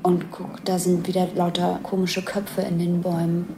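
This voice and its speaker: A woman's voice: lowish voice, monotone, moderate pace, dark tone, a little hiss or hum. A woman informally describing a scene as it unfolds, hesitant and searching for words. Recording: music behind the voice.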